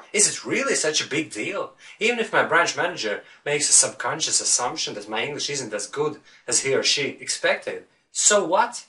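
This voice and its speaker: A Latvian-accented man teaching English speakers to stop worrying, talking earnestly and firing rhetorical questions at the viewer. His voice is bright and clear. He sits a little back from the microphone. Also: mid-range voice, distant mic. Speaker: English fluency coach. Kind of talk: motivational video lesson.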